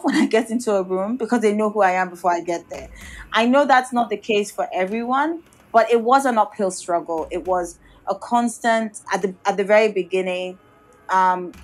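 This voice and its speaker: Thoughtful woman with a Yoruba background. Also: medium pitch. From Nigeria. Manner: thoughtful, many restarts and "you know", occasional light laughs. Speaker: guest architect and designer. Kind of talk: reflective interview answers.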